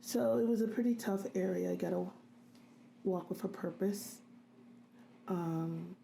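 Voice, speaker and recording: A middle-aged woman in an interview, recalling her childhood in a halting way with many pauses. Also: on the low side, vocal fry, mellow, a little hiss or hum, rough recording. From grew up in a US city in a Haitian immigrant family. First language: Haitian Creole. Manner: halting, many ums and restarts. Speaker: interviewee, registered nurse. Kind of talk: reflective interview recollection.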